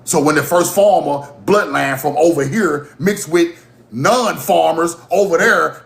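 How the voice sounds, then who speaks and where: A man's voice: fairly high pitch, gravelly, roomy sound. A man from Mississippi, hosting a livestream and delivering a heated, profane monologue with bursts of laughter. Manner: animated, profane, laughing rants. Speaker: livestream host.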